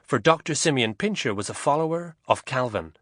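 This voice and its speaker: Expressive male audiobook narrator. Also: mid-range voice, no echo, studio quality. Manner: measured, expressive storytelling.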